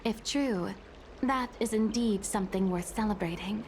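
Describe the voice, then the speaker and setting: A woman playing an earnest, dutiful maid in a video game, speaking formally and deferentially in measured phrases. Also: medium pitch, a little mellow, a little hiss or hum, lightly acted. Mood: hopeful, formal. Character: earnest, dutiful maid pleading for her young mistress. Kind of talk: dramatic video game voice acting. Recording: music behind the voice.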